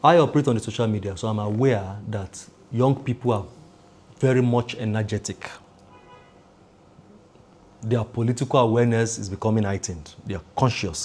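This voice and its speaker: A Nigerian man talks through politics in a deliberate commentary style, stacking his points in repeated phrases. His voice sits low and mellow, with a husky edge.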